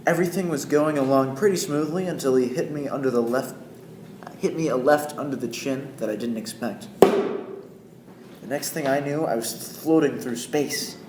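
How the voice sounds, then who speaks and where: A man's voice: medium pitch, moderate pace, noisy background, lightly acted. A man playing a practical, boastful Yankee factory boss narrating his tale in an old-time radio play. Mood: wry, recounting. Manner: folksy, boastful. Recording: roomy sound under loud piano music. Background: piano music.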